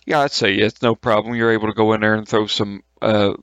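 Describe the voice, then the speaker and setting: A man acting as game master, narrating a tabletop game in a halting, colloquial, informal way. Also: on the low side, little pitch movement, a bit bright, highs cut off.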